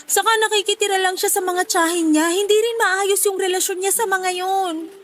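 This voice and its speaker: Radio drama: stubborn young unwed mother-to-be, Filipino accent, very high, sharp, protesting voice. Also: fairly even intonation, normal speed. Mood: anxious, pleading. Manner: pleading, protesting. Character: distraught young unwed pregnant student, stubborn daughter.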